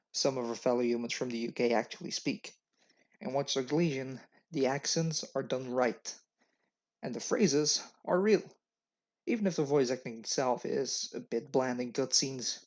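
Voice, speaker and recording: Wry non-native man reviewing games. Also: medium pitch. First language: not English. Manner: opinionated, wry, matter-of-fact. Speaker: game reviewer and channel host. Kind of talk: scripted game review commentary. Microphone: webcam. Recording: dull lo-fi webcam audio.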